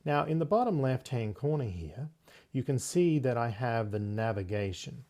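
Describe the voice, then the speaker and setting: A man hosting a software tutorial, explaining things step by step in a conversational way. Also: mid-range voice, sing-song, normal speed. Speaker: host of tech tutorial series.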